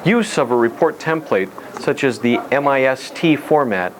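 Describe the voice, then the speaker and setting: Mid-pitched man speaking over clear noise. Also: expressive, normal speed. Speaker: hospital emergency staff member. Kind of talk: scripted instructional explanation. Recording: clearly noisy, ambient background sound.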